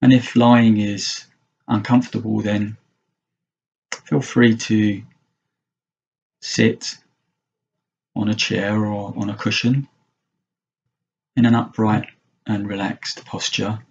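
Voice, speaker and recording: A man leading a guided mindfulness meditation as a teacher, speaking gently and unhurriedly in short phrases with long pauses. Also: fairly low pitch, little pitch movement, creaky, slightly rough, muffled recording.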